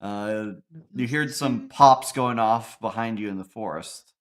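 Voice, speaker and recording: Low-voiced game master, halting narration. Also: male voice. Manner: halting narration with frequent uhs.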